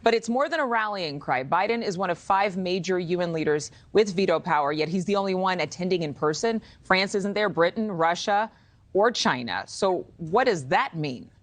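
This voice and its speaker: An American woman with a low-pitched voice works as a television news anchor. She sets out the background in broadcast interview style and puts questions to her guest. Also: animated, moderate pace.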